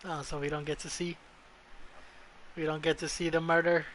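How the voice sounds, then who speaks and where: A woman's voice: low voice, flat delivery, normal speed, slight background noise, poor audio. A woman doing casual live reaction commentary on a game, tossing out blunt, sardonic quips.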